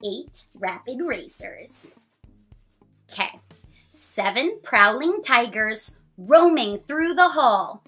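Animated, bright-voiced woman reading to children. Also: a little high, soft background music. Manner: expressive, sing-song, drawn-out reading to a young child. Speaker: host reading children's books aloud. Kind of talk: children's picture-book read-aloud with counting along.

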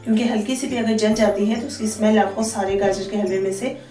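A woman's voice: mid-range voice, steady tone, quick pace, mellow. A woman hosting a cooking channel, giving friendly step-by-step instructions in a chatty way. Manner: friendly, chatty instructions with frequent "theek hai ji". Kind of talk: cooking tutorial voice-over. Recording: echoey, distant voice-over with faint music.

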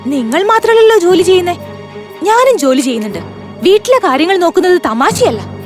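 A woman playing a quarrelsome, sharp-tongued young wife in a fairy-tale cartoon, talking in an emphatic, complaining way. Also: very high voice, sing-song, a little fast, soft background music. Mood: nagging, annoyed.

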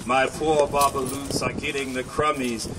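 A man's voice: medium pitch, flat delivery, normal speed, pitched below the performer's own voice, close to an everyday voice. A man playing an indignant, snappish old forest creature. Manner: snappish, emphatic. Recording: windy outdoor PA, distant, noisy.

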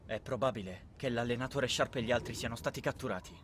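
A man voicing a grim, knowing teenage boy in an anime fan dub, grave and measured. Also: mid-range voice, background noise, lightly acted. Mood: grave, warning.

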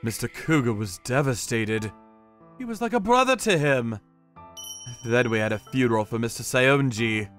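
An actor playing a hot-headed, boastful young yakuza with an emphatic, combative delivery in a scripted anime dub. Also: male voice, medium pitch, animated, moderate pace, a bit bright, soft background music, close to an everyday voice. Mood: grave.

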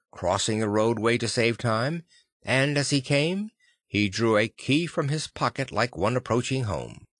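A man's voice: mid-range voice, fairly bright tone, dry recording. Man narrating an audiobook, dry close sound. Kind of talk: scripted literary narration.

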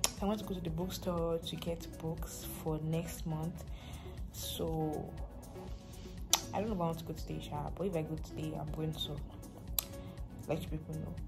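A young woman with a Nigerian accent chatting casually to her phone camera as a vlogger, relaxed and rambling. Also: deep voice, normal speed. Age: young adult. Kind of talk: casual vlog chat to camera. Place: bedroom, in bed; also parked car. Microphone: phone held at arm's length. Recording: background music under the voice.

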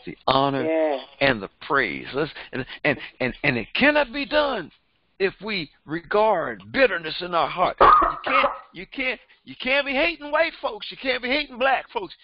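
An older man, a pastor, preaching a Bible lesson in a fervent, repetitive cadence through a headset microphone in a small studio. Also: a little high. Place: small indoor studio before a printed backdrop. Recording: dry, slightly dull sound.

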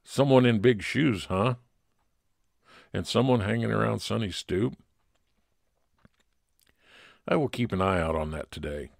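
A gruff detective from an old-time radio mystery, acted by a man. He is brusque and grumbling, with a very low, creaky voice. The sound is close and dry. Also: normal speed, dry recording. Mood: businesslike. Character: gruff, grousing male police detective.

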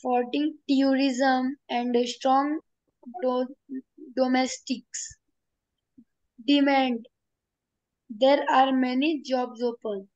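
A woman student on a video call slowly reading a text aloud, stumbling over words. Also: high voice, steady tone, darkish tone, muffled recording. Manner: halting reading with restarts.

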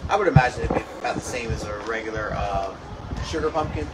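Low-key man explaining, loud background noise. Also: expressive, fast talker. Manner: matter-of-fact, low-key. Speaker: pumpkin farmer being interviewed. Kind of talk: casual explanation in short answers. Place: open-sided farm market and parking lot outside. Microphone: phone held by interviewer, a step away. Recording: loud outdoor noise, some vehicle sound.

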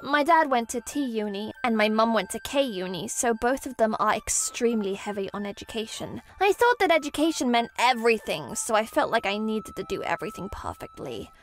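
A woman's voice: fairly high pitch, expressive, fairly quick, hardly any pauses, soft background music, natural voice. A woman voicing an anxious, perfectionist young career woman with a dramatic, needy streak, in an anime-style dub. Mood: wistful. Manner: melodramatic, whiny when distressed, composed at work.

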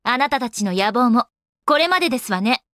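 A woman's voice: a little high, lively intonation, fairly quick, fairly bright tone, no room sound, clean studio sound. A woman voicing an elegant young goddess in a video game, with polite, ladylike, composed speech. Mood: composed, serious. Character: elegant young goddess, refined lady and avid gamer. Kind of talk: Japanese video game character voice acting.

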